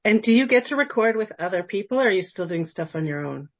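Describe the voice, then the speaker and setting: A woman's voice: mid-range voice, expressive, fast talker. Friendly woman interviewer, slightly muffled audio. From New York, United States. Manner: friendly, admiring fan. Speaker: podcast interviewer. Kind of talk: casual, conversational interview.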